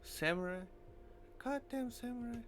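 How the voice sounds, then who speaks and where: A woman's voice: on the high side, a little slow, slight background noise, natural voice. A woman playing a blunt, weary female squad leader in a visual novel. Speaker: reader of a game character's lines. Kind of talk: acted reading of visual novel dialogue.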